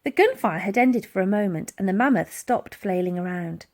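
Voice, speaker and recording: A woman narrating a children's adventure story in an animated storytelling style. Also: mid-range voice, moderate pace. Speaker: audiobook reader.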